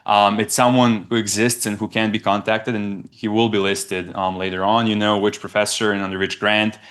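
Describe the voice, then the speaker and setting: Man, young adult, slightly low pitch, conference talk, halting, self-correcting. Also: level tone, fairly bright tone. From Serbia. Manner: halting, with frequent restarts and repeated words. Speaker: research presenter. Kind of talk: conference presentation.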